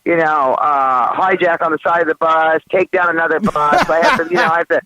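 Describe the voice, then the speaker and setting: A woman co-host calling in by phone, telling a tall tale in a wry, deadpan way with lots of fillers. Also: low voice, a little fast, dry recording. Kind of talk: casual joking radio chat. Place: family vacation home, by phone. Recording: muffled phone-call audio.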